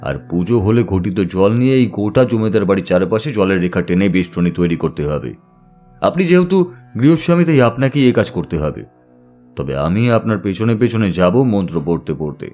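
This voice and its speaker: A man's voice: on the low side, expressive, moderate pace, a little mellow, faint music behind, close to an everyday voice. A man voicing a grave, protective tantric priest, firm and reassuring, in an audiobook drama. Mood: grave, instructing.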